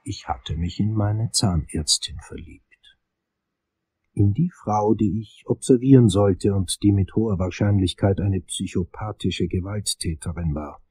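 An actor playing a brooding, infatuated investigator, narrating a crime radio play in a measured, intense manner. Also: male voice, fairly low pitch, a little mellow, breathy edge, low-quality recording. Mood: fascinated.

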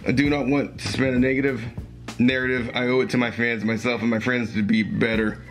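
A young man with a voice going hoarse, speaking earnestly and haltingly with fillers and restarts, recorded close on a webcam in a small room at home. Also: mid-range voice, level tone, faint music behind. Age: young adult. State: losing his voice, hoarse. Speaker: commentary YouTuber. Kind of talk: reading aloud and commenting.

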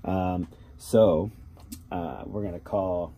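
Low-voiced man, mellow, thinking aloud. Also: slow pace. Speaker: hobbyist demonstrating a robot. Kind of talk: casual how-to demonstration. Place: small cluttered workshop indoors. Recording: noticeable background noise with clicking keys.

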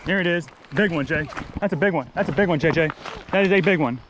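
Excitable man fishing a creek. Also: a little high, fast talker, background noise. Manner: excitable, explains the spot while fishing. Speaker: fishing vlogger. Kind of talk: casual outdoor fishing commentary. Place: outdoors wading a mountain creek.

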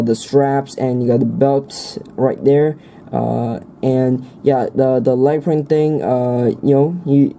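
A man's voice: mid-range voice, warm tone, slight background noise. A man reviewing a toy set in a casual, hesitant way, filling his talk with fillers and restarts, recorded at a tabletop indoors.

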